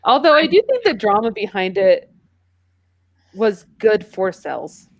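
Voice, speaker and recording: A woman's voice: mid-range voice, very animated, unhurried. A woman talking casually about video games as part of a podcast panel.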